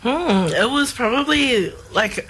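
A woman's voice: on the high side, animated, measured pace, fairly bright tone, breathy edge. A woman with an Australian accent and a voice worn from overuse, talking casually as an interview guest. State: strained voice from overuse. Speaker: general manager, interview guest.

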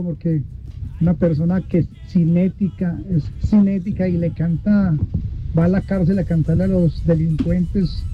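A man with a slightly high voice leaves a formal, indignant voice note. The audio sounds dull and muffled, with faint music underneath. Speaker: caller.

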